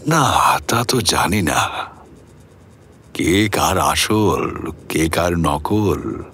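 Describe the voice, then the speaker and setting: A man's voice: deep voice, animated, extremely slow, a bit bright, slight background noise, full character voice. An actor playing an older man recounting an eerie experience in a theatrical, reflective audio drama monologue. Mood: calm, enigmatic. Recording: music behind the voice.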